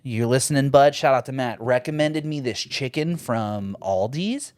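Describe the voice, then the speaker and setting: A man with a Midwestern American accent, co-hosting a podcast with profane, jokey, animated banter. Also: medium pitch, normal speed, no room sound, studio quality. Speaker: podcast co-host. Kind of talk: casual banter. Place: podcast studio.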